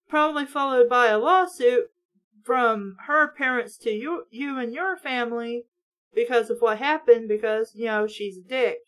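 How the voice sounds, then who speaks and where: A woman's voice: high-pitched, low-quality recording. A woman reading a book aloud and breaking off into blunt, sweary, sarcastic commentary, with strong opinions. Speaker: host of a book read-along.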